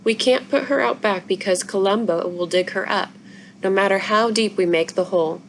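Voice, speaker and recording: A woman's voice: mid-range voice, normal speed, close to an everyday voice. A woman reading a storybook as an earnest, protective young girl who pleads emotionally. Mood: tearful, upset. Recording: noisy room recording.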